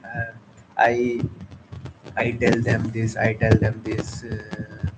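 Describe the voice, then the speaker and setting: A man from India with an Indian accent, a Hindi speaker talking in English with many pauses, fillers and restarts. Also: fairly low pitch, monotone, heavy background noise. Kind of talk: casual spoken monologue.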